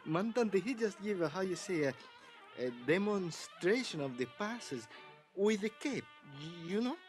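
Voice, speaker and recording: An actor playing a polite, eager manservant with a Latin accent and halting English in an old radio drama. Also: male voice, high-pitched, animated. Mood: eager, admiring. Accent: Mexican or Spanish-speaking Latin. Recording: vintage radio broadcast, music behind.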